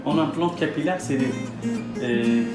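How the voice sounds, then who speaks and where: Non-native male doctor in an echoey room. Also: a little high. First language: not French. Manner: halting, repetitive phrasing in non-native French. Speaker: hair transplant surgeon presenting a patient. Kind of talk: explanatory consultation talk, interviewing. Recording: echoey room with loud guitar music. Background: guitar music.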